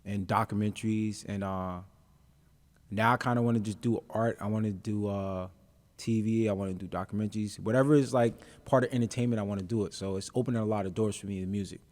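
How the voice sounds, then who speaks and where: A man's voice: on the low side, fairly even intonation, quick pace, darkish tone. A young adult man, a rapper being interviewed, talking in a laid-back, easygoing way with plenty of ums and uhs. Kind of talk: casual interview chat.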